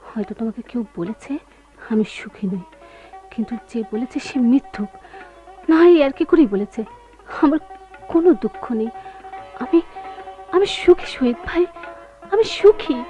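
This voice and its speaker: A woman's voice: a little high, animated, unhurried, pauses often, dark tone, faint music behind. A woman playing a hurt, suspicious, proud wife in an emotional radio drama. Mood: agitated, insistent. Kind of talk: Bengali radio play acting. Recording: dull, noisy old radio drama recording.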